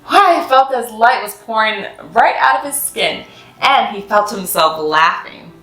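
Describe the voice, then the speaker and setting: A woman's voice: fairly high pitch, expressive, measured pace, bright, forceful tone. Woman reading a children's story in a roomy space. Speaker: host of a children's read-aloud series. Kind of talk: expressive storybook narration for children. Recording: roomy echo with music behind. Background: music.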